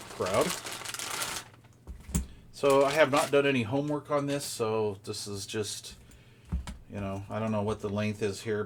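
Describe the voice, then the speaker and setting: A man's voice: on the low side, background noise. A man giving casual unboxing commentary as a product reviewer, in a rambling, folksy, conversational way.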